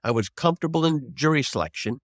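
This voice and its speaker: Man, 70s, veteran lawyer mentoring peers: emphatic, lively swings in pitch, dry close sound. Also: quick pace, no room sound. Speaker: veteran trial lawyer, guest. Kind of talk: unscripted advice to fellow lawyers.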